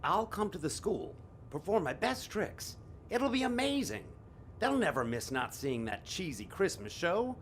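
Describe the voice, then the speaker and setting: An actor playing a grumpy, boastful stage magician in an audio drama, sarcastic and theatrical in manner. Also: male voice, big pitch swings, unhurried, fairly bright tone, exaggerated voice. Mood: boastful, eager. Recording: faint noise with low rumble.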